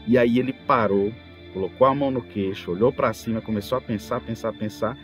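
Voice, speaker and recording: A Brazilian man hosts a podcast in a conversational, storytelling style. His voice sits at an average male pitch and he recounts things in an animated way. Music plays softly behind him. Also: brisk. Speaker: podcast host. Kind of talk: casual anecdotal talk about tabletop role-playing. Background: background music.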